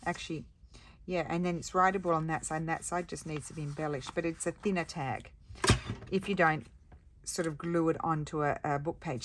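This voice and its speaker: A woman with a croaky voice, chatting casually and thinking aloud as she makes paper crafts at her craft table. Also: fairly low pitch, noisy background. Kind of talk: casual crafting chat while working.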